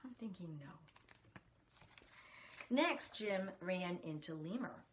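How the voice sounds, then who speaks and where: A woman's voice: on the low side, lively intonation, slow, slight background noise. A woman reading a picture book aloud to children, expressively, putting questions to her young listeners.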